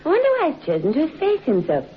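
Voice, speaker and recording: Lively, gushing society woman. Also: high voice, very fast. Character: gushing English society woman, fond and curious. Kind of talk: old-time radio drama acting. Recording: dull, faintly noisy old radio recording.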